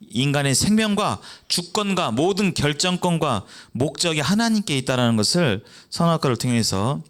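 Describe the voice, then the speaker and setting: A man's voice: medium pitch, fairly bright tone. A man preaching a sermon in a church, explaining in a conversational way and asking the congregation rhetorical questions.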